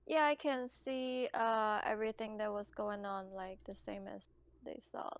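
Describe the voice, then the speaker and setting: Female gamer, casual and mid-pitched, very dry close sound on an online call. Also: steady tone, unhurried, clear, resonant tone, dry recording. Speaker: player in a tabletop game. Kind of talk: casual chat.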